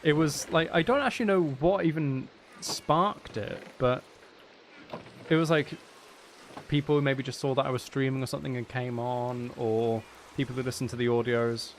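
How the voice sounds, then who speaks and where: A man streams a game and talks off the cuff in a rambling, self-deprecating way. His voice sits at a middling pitch, with a noticeable wash of rain and running water behind it. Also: steady tone, moderate pace, a little hiss or hum. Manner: mildly sweary. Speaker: game streamer and audio creator. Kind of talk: casual live gaming stream chat.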